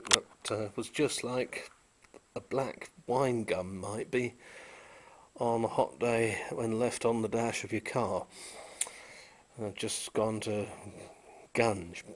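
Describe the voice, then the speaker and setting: This is a man with a fairly low voice, talking through a piece of old equipment in a relaxed, hands-on way. He is technical but unhurried, and he drops in "uh" between phrases as he shows each part. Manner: unhurried, technical, with frequent "uh" pauses. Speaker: vintage electronics restorer. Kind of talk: casual show-and-tell demonstration.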